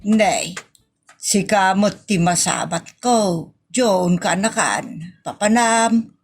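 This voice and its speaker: A woman's voice: mid-range voice, slow, slightly rough, soft background music. A woman playing a scolding, meddling aunt in a comedy audio drama, with an Ilocano accent and a nagging, exasperated manner.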